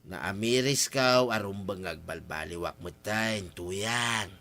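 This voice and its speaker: An actor playing a wheedling village husband in a radio drama, with an Ilocano accent and an emphatic, pleading delivery. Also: male voice, on the low side, slow pace, bright, forceful tone, exaggerated voice. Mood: earnest, contrite. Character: wheedling village husband, a drinker seeking credit.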